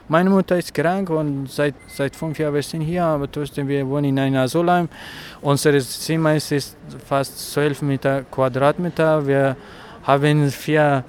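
A man's voice: a little high, fairly even intonation, moderate pace. Earnest young man from Afghanistan. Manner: earnest, hesitant, searching for words. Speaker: protester. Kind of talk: street interview. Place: outdoors on a city street.

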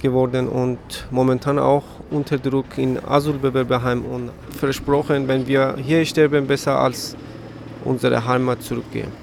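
A man speaking gravely and hesitantly at a protest, often filling pauses with "also". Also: medium pitch, level tone, slight background noise. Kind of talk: street interview. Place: outdoors on a city street.

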